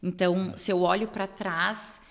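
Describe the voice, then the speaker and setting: A woman's voice: on the low side, little pitch movement, measured pace, gravelly. A middle-aged woman from southern Brazil, with German as her first language, co-hosting a podcast and recounting her path in a reflective, storytelling way. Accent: southern Brazilian Portuguese with German roots. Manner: storytelling, reflective, warm affirmations. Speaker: podcast co-host. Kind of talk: casual podcast conversation. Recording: long hall reverb.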